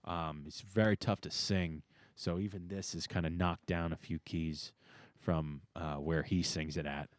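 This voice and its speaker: A man chatting casually as a musician guest on a podcast, with a hesitant, halting delivery. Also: sits low, steady tone, dry recording. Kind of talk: casual chat.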